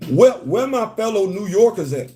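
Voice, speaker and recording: A man from New York with a hint of a Southern accent hosts a live stream, his voice sitting a little high for a man. He talks in emphatic, slangy bursts, repeating lines for weight and calling out to viewers as they join. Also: very animated, normal speed, dark tone. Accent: Southern-tinged New York. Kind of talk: animated live-stream commentary.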